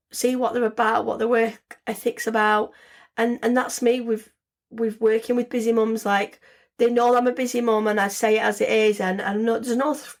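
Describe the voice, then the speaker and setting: Hoarse, chatty woman. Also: a little high. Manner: chatty, rambling, frequent restarts. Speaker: podcast host. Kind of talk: casual solo podcast talk.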